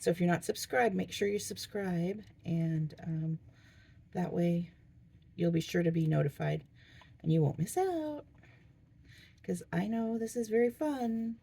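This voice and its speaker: Hoarse low-voiced woman chatting while crafting. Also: measured pace, pauses often, warm tone. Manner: rambling, chatty, thinking aloud with fillers. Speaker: diamond painting crafter. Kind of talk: casual crafting vlog chat.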